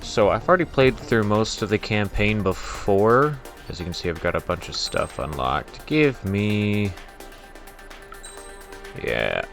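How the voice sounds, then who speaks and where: A man's voice: lowish voice, lively intonation, unhurried, vocal fry, fairly bright tone, soft background music. A man giving casual, rambling gameplay commentary as the laid-back host of a gaming video. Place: voice-over with game music behind.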